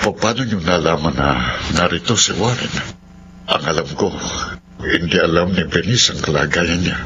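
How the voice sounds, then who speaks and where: Solemn, low-voiced father. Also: moderate pace, pushed and bright. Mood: guarded, polite. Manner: earnest, solemn, persuading. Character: sorrowful, resigned middle-aged father reasoning with his wife. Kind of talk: radio soap drama acting. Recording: dull, clearly noisy radio drama audio.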